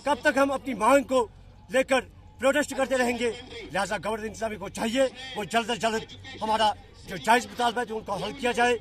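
A man's voice: sits high, brisk, a bit bright. A man, a union leader making an emphatic, insistent statement to reporters. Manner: emphatic, insistent, rhetorical questions. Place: open sports ground among a crowd. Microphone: handheld news microphones held close.

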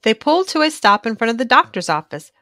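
A woman reading a children's storybook aloud in an expressive, animated narrating style for young listeners. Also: on the high side, quick pace, no room sound, studio quality.

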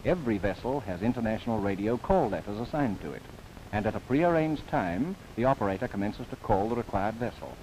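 A man narrating a scripted 1930s documentary film in a formal, measured, earnest manner. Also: on the low side, animated, a little mellow. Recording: noisy 1930s film soundtrack.

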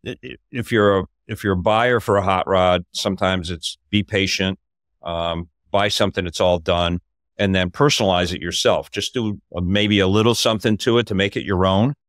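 An older man, a podcast host, telling a story in casual talk over a video call from a home office, his voice climbing when he gets excited. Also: low voice, moderate pace, no room sound, clean studio sound.

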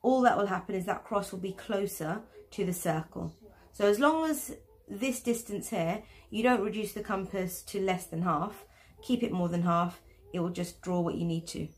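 A woman's voice: medium pitch, moderate pace. Chatty woman teaching a drawing tutorial. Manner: chatty, self-deprecating asides. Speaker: art teacher.

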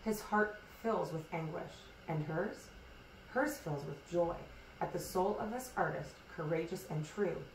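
A woman reading a rhyming children's picture book aloud in an expressive, measured storytelling way. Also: lowish voice. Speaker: storybook reader. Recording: echoey room, distant mic, hissy noise.

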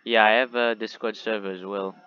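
A man's voice: on the low side, level tone, a little fast, bright, forceful tone, highs cut off, a little hiss or hum. A young man filming a group voice call, joking around and repeating himself. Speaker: channel owner filming the call. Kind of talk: casual group chat on a voice call.

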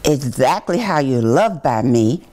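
An older woman reads a children's picture book aloud in a deliberate, expressive storytime manner. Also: a bass voice, slight background noise. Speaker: city commissioner reading to children. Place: small indoor room with bookshelves.

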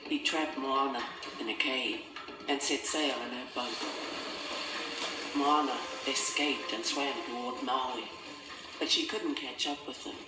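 A male narrator reads a children's storybook at a middle pitch, measured and expressive. He sounds a little away from the mic, with music playing clearly underneath. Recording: roomy, distant, music behind.

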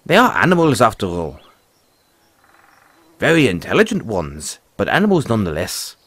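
A man voicing a friendly, philosophical dragon rider and gentle mentor in an audio roleplay, speaking in a folksy, earnest, musing way. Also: on the low side, wildly swooping pitch, moderate pace, husky, dry recording, full character voice. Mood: earnest, explaining. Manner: folksy, earnest, musing, says "aye" and "lad". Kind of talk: audio roleplay addressed to the listener.

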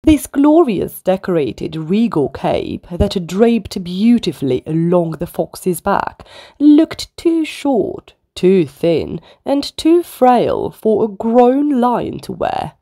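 A woman reading a children's story aloud as a storyteller, with dramatic, deliberate emphasis. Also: mid-range voice, very animated, warm tone, no echo, studio quality.

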